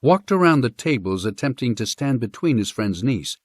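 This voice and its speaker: A man narrating a western story as an audiobook, in a measured, expressive storytelling manner. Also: mid-range voice, no room sound, clean studio sound.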